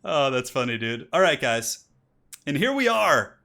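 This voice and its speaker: Bright-voiced chatty male streamer. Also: mid-range voice, sing-song, measured pace, vocal fry. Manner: chatty, reads donations aloud, laughs often; rises to hyped casting for match intros. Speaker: streamer and tournament caster. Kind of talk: casual live-stream chat and game commentary.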